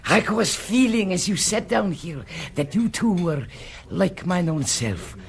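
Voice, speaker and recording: A woman's voice: lowish voice, sing-song, a little slow, gravelly, full character voice. A woman playing an imposing foreign princess and seance medium in a radio comedy, with an Eastern European accent and portentous, stilted speech. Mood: mystical, confiding. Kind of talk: radio comedy drama acting.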